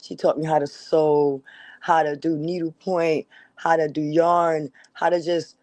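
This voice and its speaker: A woman's voice: low-pitched, flat delivery, a little slow, a little mellow, dry recording. A middle-aged woman speaking earnestly and plainly about her own life as a talk show guest. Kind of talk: personal reflection in conversation.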